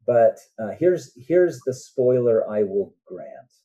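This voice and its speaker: A man's voice: mid-range voice, lively intonation, takes it slowly, warm tone, slightly rough. An older man talking as a guest author in an interview, explaining his thoughts earnestly in a conversational way.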